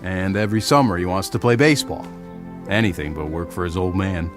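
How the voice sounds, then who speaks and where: A man's voice: low-pitched, fairly quick, over music, close to an everyday voice. An actor playing a cautious but supportive father in an audio drama, wry and a little hesitant. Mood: reluctant, doubtful. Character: cautious father of a young boy, grudgingly supportive.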